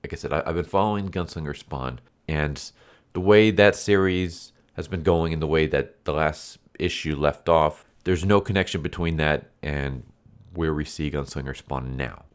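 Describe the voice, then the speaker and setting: A man's voice: very deep voice, normal speed, clear, resonant tone. A man reviewing a comic book in a casual, rambling way, his voice congested and stuffy from a recent illness. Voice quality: nasal, stuffy. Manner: rambling, full of fillers and restarts.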